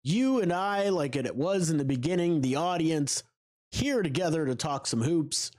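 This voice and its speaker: A man with a stuffy, nasal voice from a cold talks through a solo podcast. His pitch sits a bit high and swings widely as he tosses out rhetorical questions. He fills pauses with uh and um. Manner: animated, rhetorical questions, frequent uh and um. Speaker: podcast host. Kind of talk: solo sports podcast monologue.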